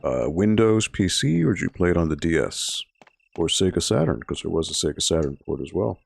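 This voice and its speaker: A man in his thirties hosting a library livestream, hoarse and raspy from bronchitis, chatting casually with viewers and explaining each step as he plays. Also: sits low, big pitch swings, brisk. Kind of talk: casual gameplay commentary to a live chat.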